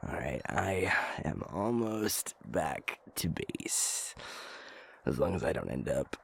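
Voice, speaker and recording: A man acting a young guy in an intimate audio roleplay, with a low, gravelly, husky voice and casual, sweary, naturalistic delivery. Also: sing-song, full character voice. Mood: wry, weary. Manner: casual, sweary, halting, slangy banter.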